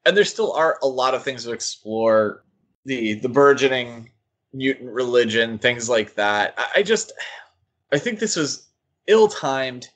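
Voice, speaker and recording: Man, average pitch, casual critical podcast talk, halting delivery. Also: lively intonation, fairly bright tone. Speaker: podcast co-host. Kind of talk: casual critical comics discussion.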